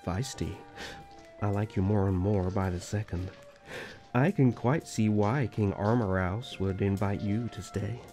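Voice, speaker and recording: A man playing a flirtatious, teasing rival elf prince in a fantasy audio drama, with a smooth, mocking courtesy. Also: on the low side, unhurried, dark tone, faint music behind, close to an everyday voice. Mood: sly, amused.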